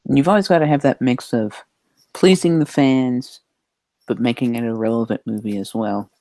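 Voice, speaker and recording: A man giving measured, tentative opinions in a casual podcast discussion. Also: medium pitch, steady tone, mellow, no echo. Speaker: podcast panelist.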